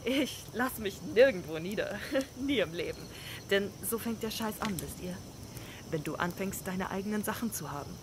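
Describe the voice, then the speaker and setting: A woman's voice: medium pitch, expressive, moderate pace, noisy background, natural voice. A woman voicing a blunt, free-spirited young drifter in a video game fan dub, casual, coarse and sarcastic. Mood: defiant. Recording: night crickets and outdoor ambience behind.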